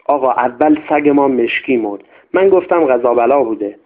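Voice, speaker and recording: A man's voice: mid-range voice, animated, close to an everyday voice. A man voicing an old, hunched female servant who is superstitious and fearful, in a tinny radio recording. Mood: frightened.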